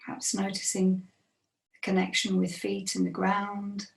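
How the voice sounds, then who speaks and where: Woman, meditation teacher, mid-range voice, gentle guided body scan, unhurried with long pauses, roomy echo. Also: medium pitch, completely flat delivery, gravelly. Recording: roomy, echoing sound.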